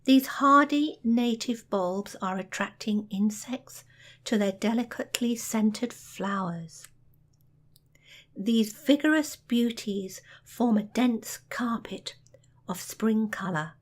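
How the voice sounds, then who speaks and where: A woman narrates a guided meditation at a very unhurried pace. Her voice sits a little high, and her delivery is soft and soothing, with measured pauses between phrases.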